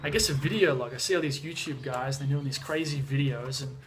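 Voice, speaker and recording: A young Australian man from Sydney, a bit hoarse from being sick, talking casually to the camera in a relaxed, chatty way with lots of fillers and restarts. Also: medium pitch, fairly quick, a little hiss or hum. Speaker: music producer vlogger. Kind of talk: casual vlog talk to camera. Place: home in the mountains, moving between outdoors and indoors.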